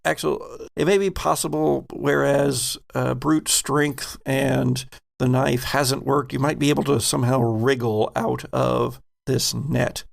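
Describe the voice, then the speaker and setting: A man with a gravelly voice narrating an improvised tabletop game as the game master. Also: mid-range voice, steady tone, unhurried.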